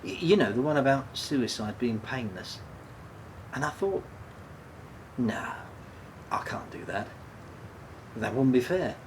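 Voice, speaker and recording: An actor playing a subdued, guilt-ridden man in a radio play, speaking haltingly and repeating his words. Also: mid-range voice, lively intonation, slight background noise. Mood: morose, weary. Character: guilt-ridden, self-blaming man, an ex-prisoner, subdued and troubled. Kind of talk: radio play dialogue, naturalistic.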